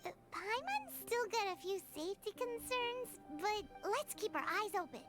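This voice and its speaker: A woman voicing a tiny, excitable, childlike fairy companion in a video game, with a squeaky voice. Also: moderate pace. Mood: worried, curious. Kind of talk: scripted video game dialogue. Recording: music behind game dialogue.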